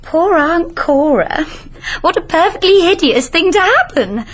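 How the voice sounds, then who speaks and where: A woman's voice: very high-pitched, big pitch swings, measured pace, a bit bright, heavily acted. An actress playing a glamorous, flighty, money-minded young woman in a radio drama, with an upper-class English accent and gushing, theatrical delivery. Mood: dramatic.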